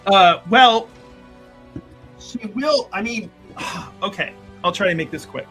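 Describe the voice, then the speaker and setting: A man chatting casually as a roleplaying player, describing what his character does in halting phrases. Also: sits high, expressive, a bit bright, soft background music. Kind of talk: casual game chat, describing a character's actions.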